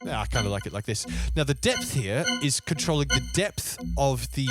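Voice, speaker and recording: A man giving an informal software tutorial as a music production tutor, thinking aloud with easy enthusiasm. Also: medium pitch, sing-song, normal speed. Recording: music playing alongside the voice. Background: electronic sound demos and music.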